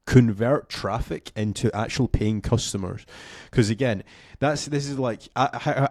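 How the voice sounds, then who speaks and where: Young man, slight cough, low voice, casual um-filled teaching, dry close mic. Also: darkish tone, no echo. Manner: casual, rambling with many fillers. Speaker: SEO coach. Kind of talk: screen-share tutorial. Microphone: studio mic close up.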